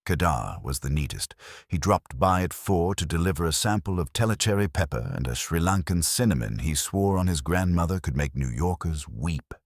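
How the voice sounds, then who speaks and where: A man reading a first-person detective mystery as an audiobook narrator, with wry, measured storytelling. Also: deep voice, lively intonation, no echo, studio quality.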